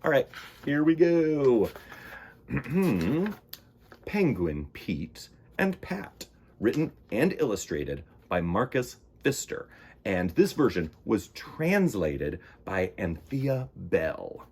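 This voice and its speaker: A male storytime host reads to children in a husky, mid-pitched voice. His delivery is theatrical and highly animated, leaning into dramatic emphasis as he narrates. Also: slow. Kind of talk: expressive picture-book narration for children.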